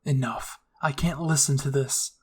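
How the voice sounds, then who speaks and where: A man's voice: medium pitch, fairly even intonation, measured pace, breathy edge. A man voicing a stern, protective young woman soldier in an anime story. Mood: threatening. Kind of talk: anime fan-fiction roleplay.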